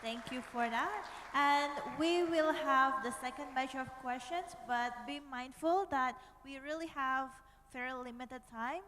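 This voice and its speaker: High-voiced woman moderating in a reverberant hall. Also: measured pace, hardly any pauses, sharp tone, a little hiss or hum. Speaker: session moderator. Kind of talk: moderating a question session. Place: large conference hall.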